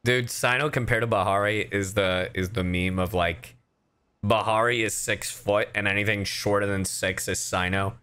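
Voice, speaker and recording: A man's voice: lowish voice, steady tone, normal speed, bright, forceful tone, clean tone. A young man livestreaming with casual game commentary, chatty, joking and profane.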